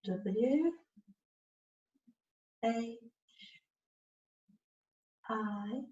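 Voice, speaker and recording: Very slow-spoken woman, far from the mic. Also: on the high side, warm tone. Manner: patient, encouraging, instructing step by step in short phrases. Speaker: communication support worker helping a student spell and write. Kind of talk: one-to-one coaching, spelling out letters aloud. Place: indoors, on a video call. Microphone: distant computer mic on video call. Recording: dull, roomy, distant video-call audio with noise.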